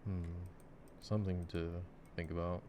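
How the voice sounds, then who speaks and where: Guy with a really deep voice doing chatty asmr while gaming, kinda reflective, some mouse and keyboard noise. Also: flat delivery, moderate pace. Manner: reflective, musing. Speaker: ASMR voice actor playing games. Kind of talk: intimate ASMR gameplay chat. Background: mouse clicks and keyboard typing.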